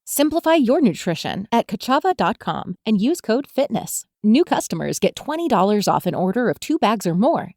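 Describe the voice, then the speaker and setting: A woman's voice: mid-range voice, a little mellow, dry recording, studio quality. A woman delivering a scripted advertisement in a polished, persuasive manner. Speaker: ad reader.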